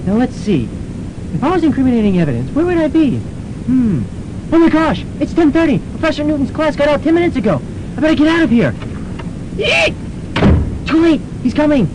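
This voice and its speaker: A man's voice: wildly swooping pitch, a little fast, long pauses, heavily acted. A man playing a nervous, snooping young cub reporter who mutters frantically to himself in a radio comedy. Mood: nervous, frantic. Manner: squeaky, frantic muttering to self. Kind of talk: campus radio comedy drama acting. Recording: noisy rumbling student radio recording.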